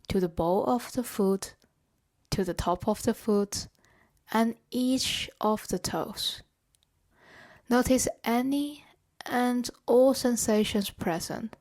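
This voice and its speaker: A woman leading a guided body scan meditation in a gentle, measured, instructional way. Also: a little high. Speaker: meditation guide and therapeutic coach.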